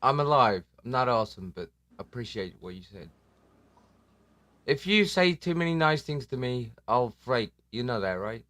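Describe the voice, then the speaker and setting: A man's voice: medium pitch, big pitch swings, normal speed, fairly bright tone, no echo. A man hosting a casual live stream, joking and self-deprecating, swearing now and then. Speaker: livestream host. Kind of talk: casual livestream chat.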